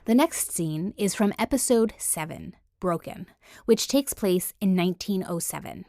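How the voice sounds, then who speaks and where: A woman's voice: medium pitch, expressive, a little slow, mellow. A woman reading scripted narration as a podcast presenter.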